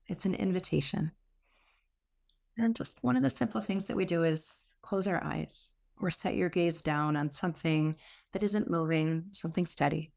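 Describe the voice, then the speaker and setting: A woman teaching meditation, giving gentle, soothing spoken instruction with unforced phrasing and long pauses. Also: fairly low pitch, creaky.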